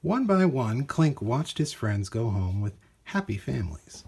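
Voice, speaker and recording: A man reads a children's story with theatrical emphasis. His voice is slightly rough-edged and husky, and his pitch moves up and down freely as he stresses key words. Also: a little slow. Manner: expressive, dramatic emphasis. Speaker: storybook reader. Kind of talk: animated scripted storybook narration for children.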